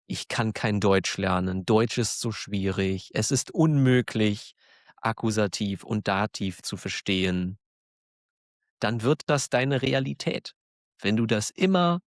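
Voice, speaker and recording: A man with a German accent, hosting a podcast for learners, talking slowly and clearly in a warm, encouraging way and laughing now and then. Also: on the low side, no room sound, studio quality. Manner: encouraging, self-deprecating, laughs often. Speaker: German teacher, podcast host. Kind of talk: slow, clear monologue for learners.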